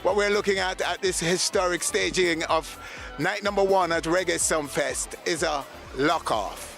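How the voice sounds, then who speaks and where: High-pitched Jamaican male TV presenter over music. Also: normal speed, gravelly, a bit bright. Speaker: TV show host and reporter. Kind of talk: broadcast presenting and on-site reporting.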